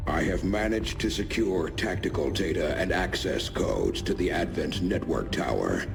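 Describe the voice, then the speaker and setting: A deep, gravelly, shadowy informant. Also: male voice, exaggerated voice. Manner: formal, deliberate, grave. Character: shadowy male resistance informant, solemn and deliberate. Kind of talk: video game cutscene acting. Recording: music behind the voice.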